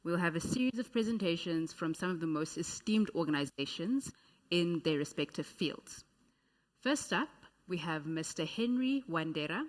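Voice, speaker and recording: A woman hosting an event and formally introducing the next speaker. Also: on the low side. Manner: polite, announcing. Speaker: event host. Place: event hall. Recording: reverberant hall sound.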